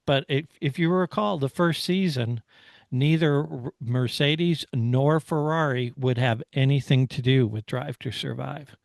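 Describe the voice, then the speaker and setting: A man co-hosting a motorsport discussion, talking casually and haltingly as he analyses. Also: medium pitch, gravelly, dry recording, studio quality. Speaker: motorsport podcast host.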